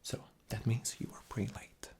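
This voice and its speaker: A man playing an arrogant, rude boss in a whispered ASMR interview roleplay, breathy, condescending and dismissive. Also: normal speed, lightly acted. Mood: impatient, condescending.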